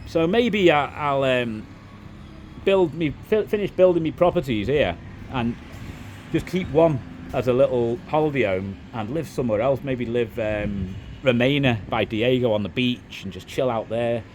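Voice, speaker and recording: A middle-aged man with a softened Liverpool accent, chatting loosely to camera as a vlogger, with lots of restarts. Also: mid-range voice, moderate pace, a little hiss or hum. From England. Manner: rambling, frequent restarts and "it is what it is". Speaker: vlogger, property developer. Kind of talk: casual monologue to camera.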